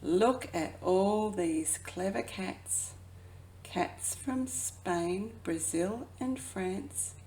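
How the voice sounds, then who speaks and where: A woman who works as a children's educator reads a picture book aloud expressively, drawing out phrases and dropping into hushed asides. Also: mid-range voice, extremely slow, a little hiss or hum, poor audio. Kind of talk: children's storybook read-aloud.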